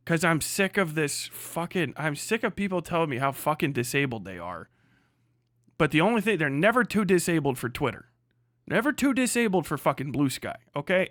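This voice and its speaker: High-pitched man on a blunt rant. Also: a bit bright, dry recording, studio quality. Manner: blunt, heavily profane, hectoring; mocks others in put-on voices. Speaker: livestream host. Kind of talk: casual livestream rant.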